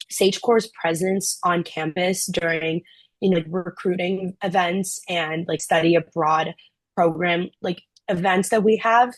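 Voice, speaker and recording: A young woman from Brazil, a former intern answering questions in a relaxed chat, full of "like" and "um". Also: on the low side, no echo, poor audio. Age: young adult. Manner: chatty, frequent "like" and "um" fillers. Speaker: program alum, student. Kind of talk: casual Q&A answers.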